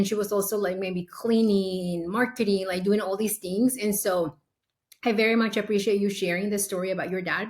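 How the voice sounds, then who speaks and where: A woman hosting a podcast interview, upbeat and enthusiastic as she asks questions. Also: mid-range voice. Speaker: podcast host.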